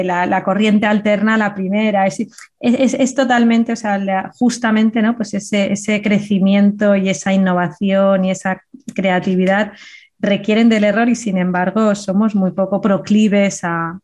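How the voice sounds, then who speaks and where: A woman hosting an interview, affirming her guest and restarting phrases as she works through her thoughts. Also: medium pitch. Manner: warm affirmations, hesitant restarts. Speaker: host. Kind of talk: conversational interview.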